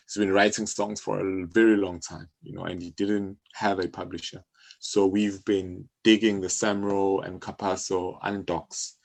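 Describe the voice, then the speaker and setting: A South African man explaining things conversationally as an interview guest, often halting and repeating his phrases. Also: on the low side, gravelly. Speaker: interview guest, music publisher.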